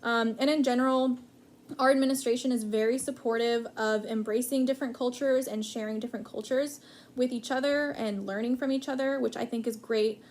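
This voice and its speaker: Reflective woman teacher, fairly high voice. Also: brisk. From United States. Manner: calm, reflective, with frequent ums. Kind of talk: recorded interview answer.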